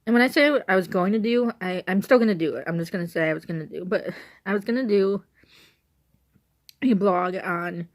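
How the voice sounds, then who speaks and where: Hoarse rambling young woman, Illinois accent. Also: mid-range voice, brisk. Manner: rambling, repeats and restarts herself. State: hoarse, stuffy nose. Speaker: vlogger. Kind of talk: casual chat to camera. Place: at home on the couch. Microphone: handheld camera.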